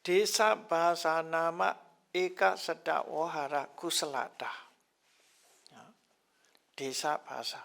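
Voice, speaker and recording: Slow gravelly older man teaching. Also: a little high, steady tone, fairly bright tone. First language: not English. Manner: halting, repeats terms, chuckles often. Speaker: Buddhist teacher. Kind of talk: explaining scriptural terms to a class.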